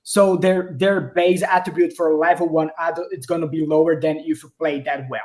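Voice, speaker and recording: Brazilian-accented developer with halting speech. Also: male voice, on the high side, steady tone, a little mellow. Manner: hesitant, restarts often, searching for words. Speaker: game developer. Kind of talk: casual livestream Q&A. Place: home office. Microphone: video call.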